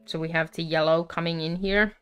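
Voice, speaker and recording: Lady hosting a crochet live, chatty w lots of uhs, smooth lowish voice, faint music behind. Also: fairly even intonation. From Finland. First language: Finnish. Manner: chatty and unhurried, with frequent fillers. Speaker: crochet livestream host. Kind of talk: casual live crafting chat.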